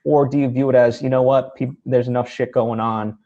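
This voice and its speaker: A man's voice: medium pitch, fairly even intonation, a little fast, mellow, highs cut off. A man hosting a casual interview, circling toward his points in a hesitant, conversational way. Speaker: interviewer, host.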